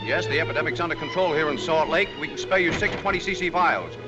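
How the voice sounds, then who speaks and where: Man acting a brisk, high-voiced health official. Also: natural voice. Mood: businesslike. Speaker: actor. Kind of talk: old Hollywood melodrama acting. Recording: muffled old film soundtrack, music behind.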